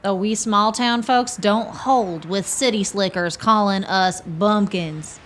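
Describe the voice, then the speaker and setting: A woman's voice: medium pitch, slow pace, fairly bright tone, a little hiss or hum. A woman playing a folksy, good-humored small-town camp dweller in a radio play, with plain country phrasing. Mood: wry.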